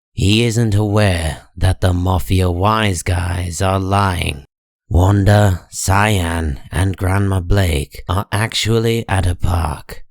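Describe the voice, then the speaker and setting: A man narrating a scripted comic story in a measured, deliberate dramatic style. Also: deep voice, darkish tone, dry recording, clean studio sound.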